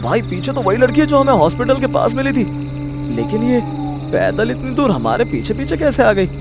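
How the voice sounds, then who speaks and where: Man acting an excitable young buddy, high-pitched. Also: fairly quick, music in the background, exaggerated voice. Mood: alarmed. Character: carefree young male friend turned terrified by a ghost. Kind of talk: acted dialogue in horror storytelling.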